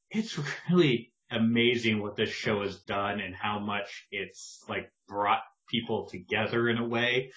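Male podcast co-host, lower pitch, bright tense tone, laid-back teasing, muffled audio. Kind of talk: casual podcast chat. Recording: dull lo-fi sound.

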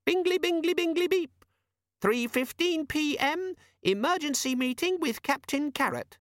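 A man's voice: very high-pitched, animated, unhurried, a bit bright, no room sound, studio quality, pitched above the performer's own voice, exaggerated voice. A man voicing a sulky, pedantic little demon organiser that lives in a box and lectures in a fussy, smug way.

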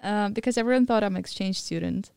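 A woman's voice: medium pitch, fairly quick, vocal fry, a little mellow, smooth, no echo, clean studio sound. A young woman, a podcast guest of Mongolian and German background, candidly telling her story in casual chat with many fillers. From Mongolian-German, living in Thailand. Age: young adult.

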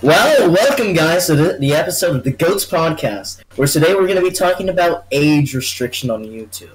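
A man's voice: a little high, expressive, moderate pace, slight background noise, poor audio. A young man co-hosting a podcast, joking, teasing and telling stories in casual chat. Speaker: podcast co-host.

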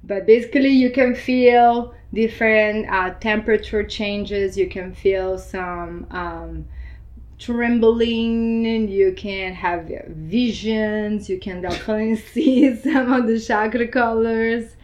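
A woman's voice: mid-range voice, slow, darkish tone. A woman born in Argentina and raised in Brazil, explaining yoga in a casual interview and laughing often. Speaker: yoga teacher.

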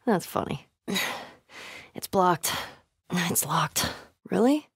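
Video game role: wisecracking, foul-mouthed young female survivor, naturalistic delivery, very lively pitch, dry studio sound. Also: very slow, vocal fry, breathy edge, no room sound, heavily acted. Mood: casual, reflective. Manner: blunt, sweary, wry.

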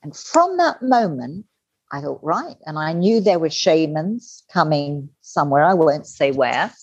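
Middle-aged woman, low voice, candid storyteller, dull dry lo-fi sound. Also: measured pace, slightly rough, dry recording. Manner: candid, animated, acts out quoted voices. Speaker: podcast guest. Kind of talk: personal storytelling interview.

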